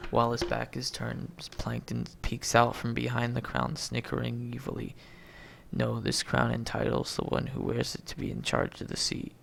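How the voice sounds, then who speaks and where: A man's voice: mid-range voice, creaky, fairly bright tone, a little hiss or hum. A man reading a movie script aloud as an amateur, announcing names and stage directions and stumbling over words.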